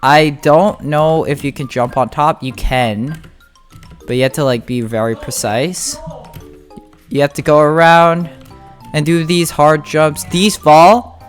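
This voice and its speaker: A casual gameplay guide host giving tutorial commentary in an informal, halting way, thinking aloud. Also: medium pitch. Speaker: game guide video host. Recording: music behind the voice. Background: music.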